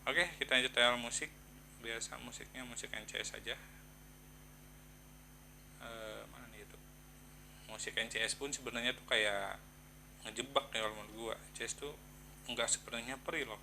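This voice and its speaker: A man chats casually to viewers in a product review, halting and thinking aloud in slangy, informal speech. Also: mid-range voice, steady tone, vocal fry, noisy background.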